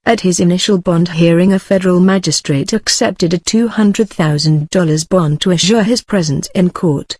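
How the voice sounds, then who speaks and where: A woman's voice: fairly low pitch, a little mellow, no echo. A woman interviewer with a formal delivery, reading scripted narration and questions.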